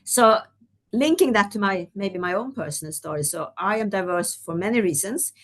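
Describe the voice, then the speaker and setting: A woman's voice: mid-range voice, lively intonation, moderate pace. A woman from Sweden, speaking as a guest executive in a webinar discussion, talking conversationally with many "you know"s. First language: Swedish.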